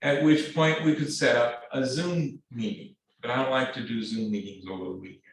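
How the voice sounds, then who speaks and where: A man lecturing a math class, speaking in a halting, earnest way with repeated words and many fillers. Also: mid-range voice. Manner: earnest, pleading. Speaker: math instructor. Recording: very echoey classroom, distant mic.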